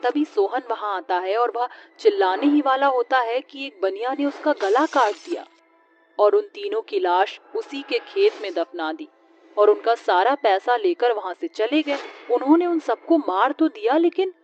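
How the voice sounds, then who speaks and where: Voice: mid-range voice, lively intonation, measured pace, soft background music. A storyteller narrating a scripted horror story in the manner of a tale read aloud. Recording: dull lo-fi audio, faint noise.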